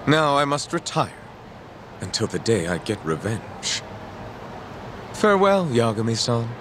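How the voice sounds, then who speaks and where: An actor playing a pompous, grandiose gangster boss in a video game, speaking in a mock-formal, melodramatic way. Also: male voice, mid-range voice, very animated, a little slow, background noise. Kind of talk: video game dub acting. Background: music.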